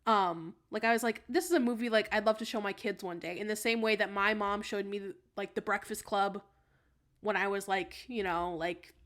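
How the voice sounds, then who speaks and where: Young woman, American accent, mid-pitched voice, casual podcast argument, rambling, halting phrasing. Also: fairly bright tone. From United States. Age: young adult. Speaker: podcast panelist.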